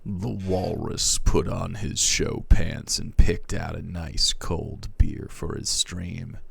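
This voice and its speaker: A man streaming, reading fan fiction aloud in a theatrical, comic way, shifting between hushed and loud. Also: low voice, steady tone, takes it slowly, vocal fry, husky, no room sound.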